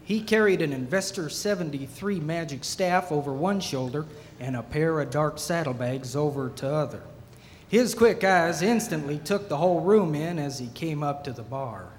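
Man as folksy barkeep narrator, slightly high voice, wry, comic audio theatre, reverberant noisy live hall. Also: lively intonation, moderate pace, natural voice. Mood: storytelling. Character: tavern master narrating a comic fantasy western tale.

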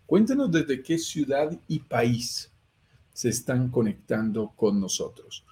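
A man's voice: medium pitch, big pitch swings, takes it slowly, warm tone. A middle-aged Colombian man with a Colombian accent, hosting a live real estate investment stream, earnest and full of "eh" fillers. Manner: earnest, lists synonyms, frequent "eh" fillers. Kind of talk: livestream presentation to viewers.

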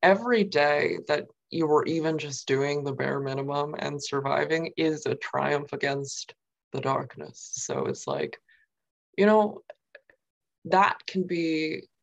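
Young New York woman, gravelly voice, rambling interviewee, slightly dull lo-fi sound. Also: low voice, lively intonation, slow pace. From New York, USA. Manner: rambling, many fillers and "like". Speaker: guest poet. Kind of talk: casual interview.

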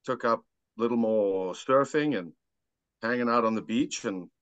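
A man's voice: medium pitch, normal speed, no room sound. A South African-accented man telling personal stories casually as a podcast guest, laid-back and self-deprecating. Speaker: podcast guest, amateur golfer. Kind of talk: casual chat, personal storytelling. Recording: dull lo-fi dry audio.

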